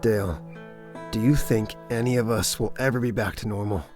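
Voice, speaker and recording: A man's voice: lowish voice, normal speed, music in the background, lightly acted. A man playing a weary, protective former cop who leads survivors and looks after his family, in a dramatic comic dub. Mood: low, weary.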